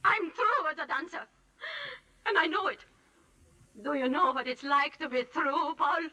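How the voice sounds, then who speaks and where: Vengeful prima ballerina, 1940s radio drama; high, sharp voice, controlled and precise, slightly off-mic. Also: female voice, measured pace, full character voice. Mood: bitter, vengeful. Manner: clipped, controlled, turning icy and intense. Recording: distant-miked 1940s radio broadcast.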